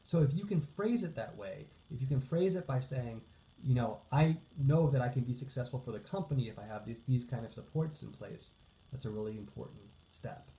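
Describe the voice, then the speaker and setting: A man's voice: medium pitch, expressive, a little fast. Man explaining earnestly in an echoey room. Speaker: psychotherapist, social worker and coach. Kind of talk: informational talk to camera. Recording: echoey room, slightly dull sound.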